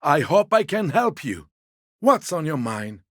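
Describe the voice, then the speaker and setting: An elderly, obliging video game character with a fairly high, husky voice. He takes his time and swings widely in pitch, always mild, deferential and a little evasive. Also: big pitch swings, unhurried, no echo, clean studio sound. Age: older. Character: elderly, meek, polite, evasive old man.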